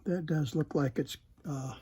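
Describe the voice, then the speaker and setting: A man giving casual, unscripted repair commentary at a workbench, halting and muttering to himself as he works. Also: fairly high pitch, fairly even intonation, dark tone, a little hiss or hum. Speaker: host demonstrating electronics repair.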